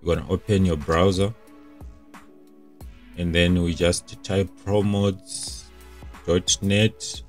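A man's voice: low voice, little pitch movement, slow pace, long pauses, soft background music. A young man presenting a casual step-by-step tech tutorial in an informal, explanatory way.

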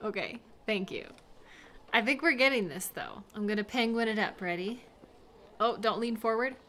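A woman acting a giggly, high-voiced flirty beginner skater. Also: normal speed, a little hiss or hum. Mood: playful, hopeful. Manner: giggly, hesitant, chatty, with excited exclamations. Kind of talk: intimate audio roleplay, one-sided conversation.

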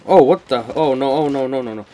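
A man's voice: mid-range voice, brisk, mellow. A man giving casual, slangy let's play commentary as a gaming host, muttering reactions, with the microphone a little away from him. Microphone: microphone not close to the speaker.

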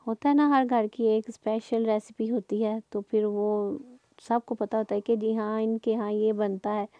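Middle-aged woman, soft mellow tone, slightly high and fairly flat, chatty home-cook voice-over. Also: normal speed, no echo. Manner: conversational, rambling. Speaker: home cooking vlogger. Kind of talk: casual chatty cooking voice-over.

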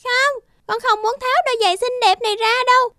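A woman's voice: fairly even intonation, quick pace, dry recording, studio quality. A woman voicing a vain, spoiled little girl in a cartoon fairy tale, childlike, whiny and theatrical. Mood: vain, sulky. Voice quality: childlike, high and bright. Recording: music behind the voice.

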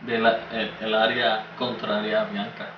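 A man's voice: on the low side, level tone, unhurried, lo-fi sound, slight background noise. A young man in his twenties with a Puerto Rican accent, joking around playfully in casual chat. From Puerto Rico. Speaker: boyfriend guest. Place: small indoor room against a plain wall. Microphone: far camera. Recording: roomy, distant, music behind.

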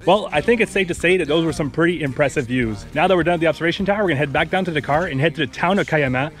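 An upbeat young man with a slightly high voice, vlogging outdoors on a forest trail with the camera held at arm's length. He narrates cheerfully and jokes about the climb, with soft music playing underneath. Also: rapid-fire. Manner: upbeat, joking about the stairs. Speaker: travel vlogger. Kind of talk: travel vlog narration.

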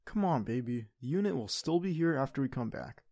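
A man playing an affectionate, playful teenage boyfriend in an intimate, soft-spoken ASMR roleplay. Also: mid-range voice, lively intonation, fairly quick, gravelly, no echo, clean studio sound. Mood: playful, coaxing.

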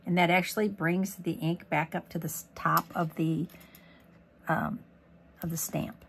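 A hoarse woman talks casually through a stamping tutorial at her desk, rambling with asides as she works. Her voice sits a bit low and has a gravelly edge. A faint hiss runs under the recording. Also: flat delivery, unhurried. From Missouri, USA. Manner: chatty, rambling, lots of ums and asides. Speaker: craft demonstrator. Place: craft desk indoors.

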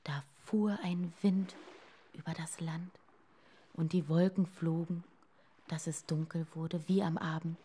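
Very slow, expressive woman storyteller. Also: fairly low pitch, breathy edge. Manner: dramatic, deliberate, dropping to hushed tones. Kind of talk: expressive bedtime fairy-tale narration.

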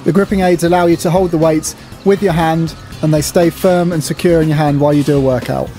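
Higher-pitched man with a soft, mellow tone. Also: steady tone, a little fast. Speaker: presenter demonstrating gym exercises. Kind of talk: instructional voice-over narration. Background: music.

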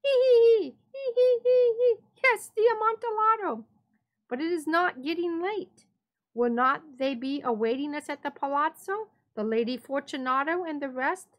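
A desperate nobleman from a gothic tale, played by a woman narrator, trapped and tipsy. The voice jumps high and loud, giggling and exclaiming as he pleads. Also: normal speed, lo-fi sound. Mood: desperate false mirth.

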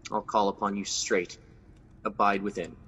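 A man's voice: lowish voice, fairly even intonation, normal speed, fairly bright tone, slightly rough, long reverb tail, highs cut off. An actor playing Macbeth, a guilt-ridden scheming king, in a classic radio drama. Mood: commanding. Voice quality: brooding, intense.

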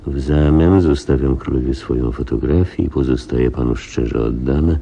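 A man's voice: moderate pace, full character voice. An actor playing a haughty but gracious king in a radio play, stately and condescending in manner. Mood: reading solemnly. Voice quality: very deep, dark, gravelly, husky. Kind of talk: Polish radio play acting.

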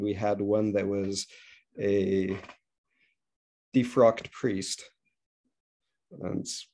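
A man from Israel, a podcast guest on a video call, telling his story in a relaxed way with small restarts. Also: lowish voice. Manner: relaxed, self-correcting storyteller. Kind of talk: casual interview.

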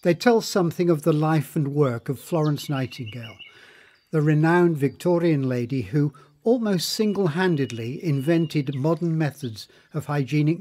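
A man reading a scripted documentary narration in a formal, measured manner. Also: on the high side, a little mellow.

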